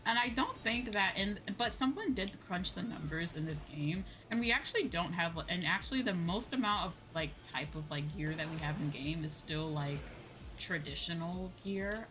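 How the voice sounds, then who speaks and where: Female game streamer, slightly low bright voice, casual and emphatic, music behind. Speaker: livestreamer. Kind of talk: casual gaming stream chat.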